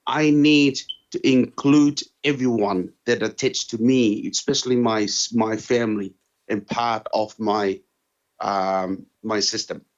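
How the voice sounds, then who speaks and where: A middle-aged man with a Tongan accent and a fairly low voice speaks as an interviewee. He talks earnestly and haltingly, restarting and repeating phrases as he goes. The recording sounds dull and lo-fi. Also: steady tone. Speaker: interviewee sharing his university experience. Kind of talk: answering interview questions.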